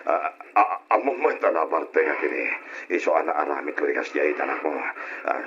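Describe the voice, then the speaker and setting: A man's voice: very deep voice, expressive, fairly quick, fairly bright tone. A man playing a frightened, cowering man who stammers and begs breathily for mercy in an Ilocano radio drama. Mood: terrified, pleading. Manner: stammering, breathy fearful pleading. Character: cowering deep-voiced man begging for mercy. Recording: noisy, dull old radio recording.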